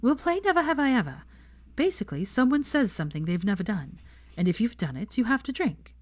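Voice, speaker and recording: A woman voicing a bright, teasing, bossy young witch in a story reading. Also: fairly high pitch, very animated, a little fast, voice pushed up. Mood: eager.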